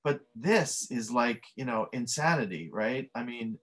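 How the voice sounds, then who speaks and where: A man's voice: mid-range voice, lively intonation, unhurried, fairly bright tone. Male director guest, middle-aged, casual rambling delivery, webcam sound. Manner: rambling, many fillers. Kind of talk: casual interview answers. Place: home office. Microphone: webcam video call.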